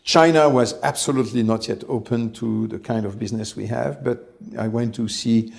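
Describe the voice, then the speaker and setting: A man in his sixties with a gravelly, slightly creaky voice, speaking at a middling pitch. He tells his story in a calm, reflective way as an interview guest. He often stops briefly to search for the right word. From France. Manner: measured, reflective, pauses often to find words. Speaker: company head, interview guest.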